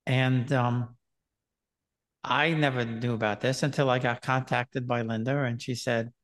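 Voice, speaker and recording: An older man hosting a video call conversation, talking in a rambling, hesitant way with many pauses. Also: medium pitch, steady tone, a bit bright. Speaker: host. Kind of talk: casual conversation. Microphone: headset on video call.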